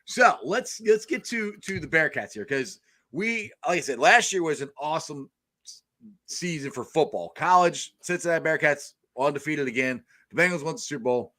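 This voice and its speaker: A man hosts a casual sports talk show, asking his guest questions and hyping the local team. His voice is bright and forceful, with loud, excited interjections. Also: a little high, moderate pace. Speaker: show host.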